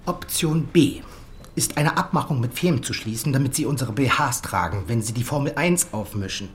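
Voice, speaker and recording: A man's voice: medium pitch, expressive, moderate pace, natural voice. A slick advertising creative played by a man in a radio drama, self-assured and glib. He leans on agency jargon and justifies himself readily. Natural, conversational delivery over faint background noise. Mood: pitching.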